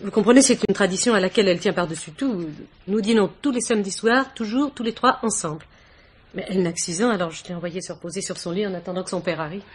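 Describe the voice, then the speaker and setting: A woman plays a devoted young wife in a vintage radio mystery. Her voice sits at a middle pitch with a soft, husky grain. She talks politely and freely, like a confiding hostess. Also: quick pace, natural voice. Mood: chatty, confiding. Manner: polite, talkative, romantic, confiding. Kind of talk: 1950s French radio mystery acting.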